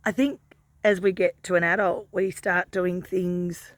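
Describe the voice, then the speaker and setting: A hoarse Australian woman with a croaky voice, musing gently in a casual vlog and often adding "you know". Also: fairly low pitch, steady tone, no echo. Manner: gentle, unhurried, warm-hearted musing with many "you know" fillers. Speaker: lifestyle vlogger. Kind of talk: casual reflective chat to camera.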